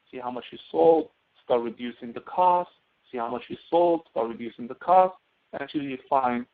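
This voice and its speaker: Male instructor, creaky mid-pitched voice, step-by-step tutorial delivery, thin telephone-quality sound. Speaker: course instructor. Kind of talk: live spoken software tutorial. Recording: narrow phone-like audio.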